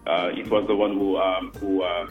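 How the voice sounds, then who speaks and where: A man's voice: deep voice, flat delivery, normal speed, echoey room, phone-quality sound, soft background music. A man from Haiti, a French and Creole speaker, answering radio interview questions earnestly with many fillers. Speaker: guest musician and worship leader.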